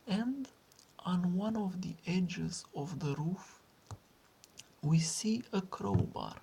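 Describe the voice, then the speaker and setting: Slow, breathy, half-whispering woman. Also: low-pitched, mellow, low-quality recording. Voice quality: breathy, husky, often slipping into whisper. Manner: slow, soothing delivery with long pauses and repetition. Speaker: guided meditation narrator. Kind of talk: hushed guided meditation.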